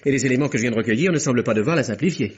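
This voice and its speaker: A dull, muffled radio-play recording of a police inspector played by a man. He talks briskly in a slightly rough, husky voice. He is methodical and courteous, with dry irony and pointed insinuation. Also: medium pitch, natural voice. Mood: measured, reporting. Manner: ironic, insinuating, polite. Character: wry, methodical male police inspector, quietly probing. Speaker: actor in a radio mystery. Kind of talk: French radio mystery play acting.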